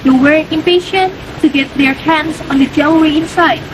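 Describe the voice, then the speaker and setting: A woman's voice: high voice, normal speed. A young woman reading scripted folktale narration as the storyteller. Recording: noisy background hum.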